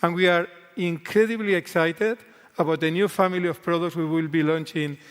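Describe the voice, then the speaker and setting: A man's voice: a little high, steady tone, a little fast, a bit bright, clear, resonant tone. A middle-aged man with a strong Spanish accent, a native Spanish speaker, talking earnestly as a guest executive on a keynote stage, with some restarts. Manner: earnest, with restarts in second-language English. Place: large convention hall stage. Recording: echoey large hall.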